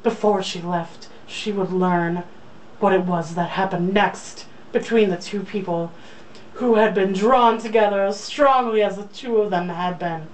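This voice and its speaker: Young woman, irreverent casual read-aloud, medium pitch, leisurely pace, distant microphone. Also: measured pace. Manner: joking, irreverent. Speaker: reader. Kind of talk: casual read-aloud of a romance novel with joking asides. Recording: distant mic, faint background noise.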